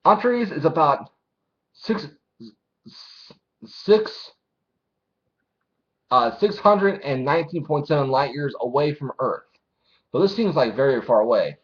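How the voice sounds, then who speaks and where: A man's voice: a little high, lively intonation, measured pace, pauses often, muffled recording. A man doing a casual, informal read-along, narrating a story with his own commentary and some swearing. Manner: informal, swearing, acts out dialogue. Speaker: manga reviewer reading chapters aloud.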